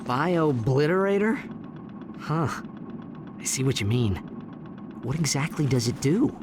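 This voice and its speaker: Casting: boyish game hero, slightly high and animated, wry and casual, over noisy ambience. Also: male voice, a little slow. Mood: curious, wry. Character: casual, plucky young male space-adventure hero. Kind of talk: cartoonish video game acting.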